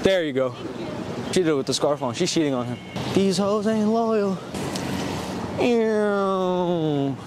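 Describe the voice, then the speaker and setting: A man filming a playful prank vlog out in a busy crowd, teasing strangers loudly, cold and sniffly. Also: sits high, slow, pauses often. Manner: loud, playful, teasing, swears casually. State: cold, runny nose. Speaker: prank vlogger. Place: outdoors in a busy city crowd. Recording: loud music and crowd noise outdoors. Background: loud music and crowd.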